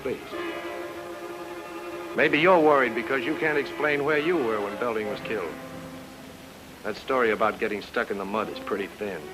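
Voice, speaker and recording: An actor playing a confident private detective in an old-time radio noir drama, terse and commanding in manner. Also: male voice, medium pitch, normal speed, long pauses, lightly acted, low-quality recording. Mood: pointed. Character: brisk, confident hard-boiled private detective. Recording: old radio recording with music.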